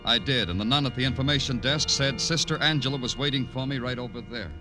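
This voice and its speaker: An actor playing a hardboiled, brooding police detective in 1950s radio crime drama, florid yet terse. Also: male voice, medium pitch, fast talker, lightly acted. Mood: terse, questioning. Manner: florid, terse noir delivery. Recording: old radio broadcast with loud music.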